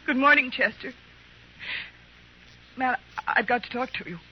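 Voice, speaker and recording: A woman's voice: high voice, measured pace. A worried frontier wife in an old radio western, urgent and emotional as she pleads. The recording is muffled, with a faint layer of noise. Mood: anxious, pleading. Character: anxious, pleading married frontier woman. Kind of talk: 1950s radio drama acting.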